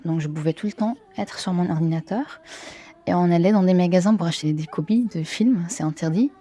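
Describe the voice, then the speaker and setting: A woman's voice: fairly low pitch, warm tone. A woman of twenty-nine from Syria with a Syrian Arabic accent, answering interview questions casually in a non-native language and often searching for words. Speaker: interviewee, theatre performer and film worker.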